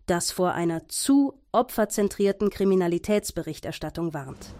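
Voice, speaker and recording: An actress playing an earnest radio news presenter, speaking formally and composedly in a satirical radio play. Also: female voice, on the low side, animated, moderate pace, dark tone, a little hiss or hum, close to an everyday voice.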